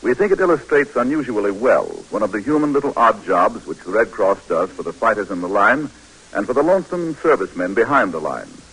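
A man's voice: on the low side, lively intonation, brisk, a bit bright, husky, poor audio. A man announcing formally and emphatically from a script, in the style of 1940s radio. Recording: old radio recording.

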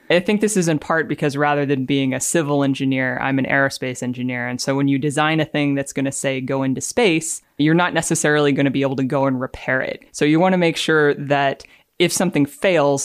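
A woman's voice: deep voice, no echo, clean studio sound. A woman engineer speaking as a guest, explaining and reading aloud. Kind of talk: explanatory talk with dramatic readings.

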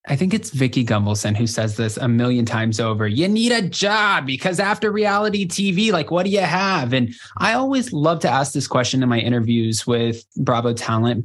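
A man hosting a podcast interview, asking questions in an enthusiastic, complimentary way. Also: medium pitch. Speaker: podcast host. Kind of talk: casual interview chat.

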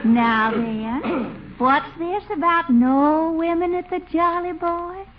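Coquettish Southern belle girlfriend, vintage radio comedy: high voice, coy teasing, Southern drawl, hissy recording. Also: slow pace, lo-fi sound. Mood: wheedling. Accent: American South. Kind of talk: 1950s radio comedy acting.

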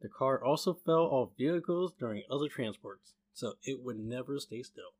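A man co-hosting a podcast, working through a story with frequent pauses and restarts. His voice sits at a middle pitch for a man, and he slips in dry jokes along the way. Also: lively intonation, a little mellow. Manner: hesitant, many fillers and restarts, dry humor.

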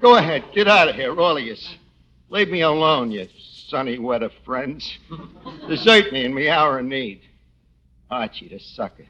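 A self-pitying New barroom wise guy from forties radio comedy. His voice is bright and forceful, with lively swings of pitch. He mangles his words and thinks highly of himself. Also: moderate pace, highs cut off. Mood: bitter, self-pitying. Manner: ungrammatical working-class phrasing, malapropisms. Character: vain, wisecracking, self-pitying tavern man, mangles words. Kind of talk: 1940s radio comedy acting.

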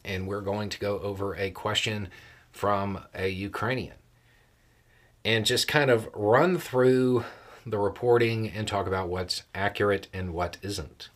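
Man, lower-pitched voice, folksy, plain-spoken, measured pauses, casual explanatory news commentary. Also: steady tone, fairly bright tone. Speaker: host of a political commentary show.

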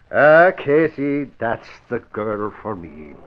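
An actor playing a vain, bumbling, girl-struck newspaper reporter who boasts and stammers, in old-time radio comedy style. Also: mid-range voice. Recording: muffled old radio recording.

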